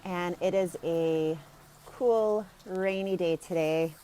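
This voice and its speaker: A woman's voice: on the low side, slow, mellow, slight background noise. A woman giving an informal, plain-spoken explanatory talk as a health coach on a live video. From Germany and the United States. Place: outdoors, sitting outside.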